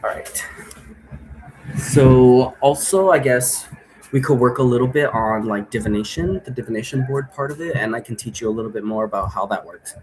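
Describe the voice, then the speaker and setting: Guy with a mid-pitched voice rambling casually about how stuff works, keeps checking you agree, bit of background noise. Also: moderate pace, a little mellow, rough recording. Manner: rambling, seeks agreement with frequent tag questions. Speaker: vlogger explaining own role-play game. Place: indoor room.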